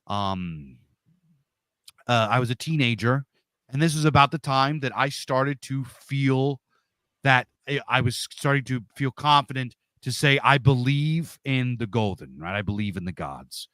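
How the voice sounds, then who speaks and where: Loud, forceful man. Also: mid-range voice, expressive, unhurried, no echo. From Pennsylvania, USA. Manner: loud, big-voiced talker. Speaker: interview guest. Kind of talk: casual storytelling.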